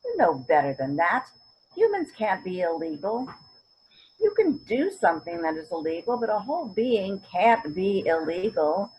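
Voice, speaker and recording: A woman's voice: mid-range voice, sing-song, moderate pace, a little mellow, dull audio, slight background noise, lightly acted. A woman acting a stubborn, principled, wry elderly mother in a readers theater drama, often searching for words and then stressing them. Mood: firm, wry. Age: older. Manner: word-searching, emphatic, wry.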